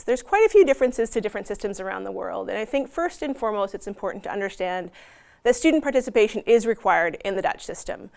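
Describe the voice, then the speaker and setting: A woman from Canada with a Canadian accent, giving an informational presentation in a friendly, explanatory way with frequent ums. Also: medium pitch. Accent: Canadian English. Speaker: university outreach presenter.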